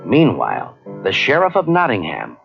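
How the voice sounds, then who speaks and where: A man narrating a children's read-along story in a measured, expressive way. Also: fairly low pitch, gravelly. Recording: dull cassette, faint music behind.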